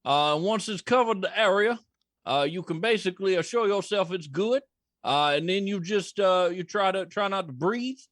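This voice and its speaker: Folksy blue-collar contractor played by a man, higher-pitched, halting and stubborn, comic sketch, dry recording. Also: a bit bright, dry recording. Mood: folksy, defensive. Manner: rambling, halting, homespun. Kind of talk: comic mock news interview.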